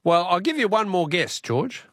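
A man's voice: high voice, sing-song, moderate pace, a bit bright, dry recording, studio quality. An Australian man hosting a live phone-in radio quiz, chatting with callers in a jokey, bantering way.

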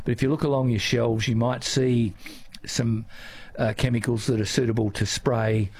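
An older man with an Australian accent, a gardening guest answering listener questions on radio in a relaxed, halting way. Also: mid-range voice, slightly rough. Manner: relaxed, halting with many fillers.

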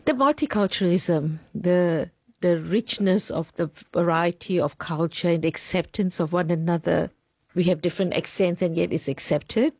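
A middle-aged woman from Singapore with a Singaporean accent, a teacher answering interview questions in measured, list-like phrases with pauses. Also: on the low side, a little mellow, breathy edge, no room sound.

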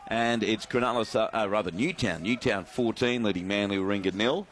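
A man's voice: fairly low pitch, fairly quick, fairly bright tone, a little hiss or hum. An Australian man hosts a sports radio broadcast in a loose, chatty way. He jokes with his co-hosts and reads listener messages aloud between updates. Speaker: radio sports show host. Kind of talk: casual sports radio banter.

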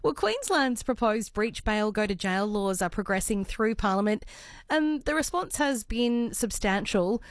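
A hoarse woman with an Australian accent, hosting morning talkback radio in a chatty, friendly way. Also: mid-range voice, animated, unhurried, no echo, studio quality. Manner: chatty, friendly, with some ums and uhs. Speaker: radio host. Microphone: studio microphone.

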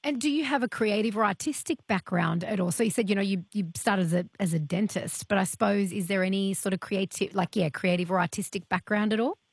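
A woman's voice: medium pitch, fairly quick, few pauses, slightly rough, no room sound. A woman hosting an interview, asking curious, rambling questions. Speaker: interview host. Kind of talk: interviewing a guest.

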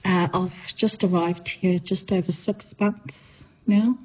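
A middle-aged woman from New Zealand, a teacher, answering radio interview questions in a measured way with frequent ums and uhs. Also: fairly low pitch, fairly even intonation, rough recording.

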